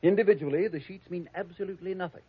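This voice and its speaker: An actor playing a prim, snobbish, scheming heir who speaks precisely and sarcastically, in 1940s radio acting on a dull lo-fi old radio recording. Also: male voice, high voice, rapid-fire, husky. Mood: haughty.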